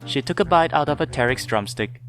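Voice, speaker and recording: A man narrating a scripted story in a measured, dramatic storytelling manner. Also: on the high side, expressive, a bit bright, soft background music.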